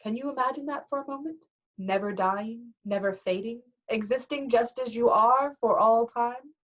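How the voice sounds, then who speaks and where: A woman voicing a kindly, wise older male teacher who speaks in a gentle, measured, formal way. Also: fairly high pitch, muffled recording, voice pushed up, natural voice. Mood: earnest.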